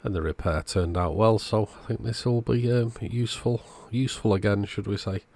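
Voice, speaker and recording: A man's voice: lowish voice, moderate pace, darkish tone. A man narrating a repair job in a casual, matter-of-fact, understated way. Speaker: host of electronics repair channel.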